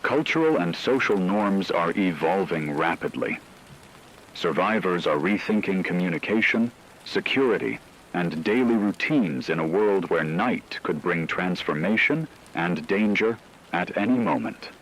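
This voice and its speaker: A man playing a grave radio newscaster, reading scripted emergency narration in a solemn, measured way. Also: deep voice, lively intonation, a bit bright, a little hiss or hum, rough recording. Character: grave male radio newscaster reporting an apocalypse's aftermath.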